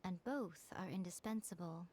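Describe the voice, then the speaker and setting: A woman voicing a playful, worldly-wise fox shrine maiden in an anime fantasy game, speaking in a measured, teasing, self-assured way. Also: on the low side, a little mellow, a little hiss or hum, lightly acted. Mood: gently probing.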